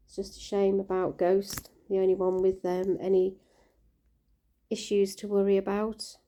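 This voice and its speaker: A woman with a croaky voice, speaking in a very dark, mellow tone. Her pitch stays flat and even as she chats gently about her fish, with the odd filler. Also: a little slow. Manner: gentle, caring, chatty with fillers. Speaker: koi keeper vlogger. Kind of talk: casual vlog narration.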